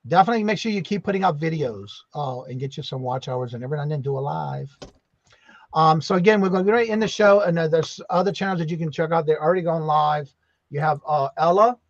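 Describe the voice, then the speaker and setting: A man's voice: a little high, quick pace, no echo, highs cut off. A man hosting a casual live stream, chatty and full of fillers, saying y'all a lot. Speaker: host.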